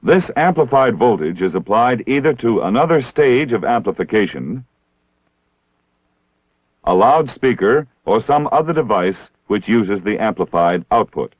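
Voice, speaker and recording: An American man narrating a scripted training film in a formal, measured, precisely enunciated way. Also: on the low side, very animated, no room sound. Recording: dull 1960s film soundtrack.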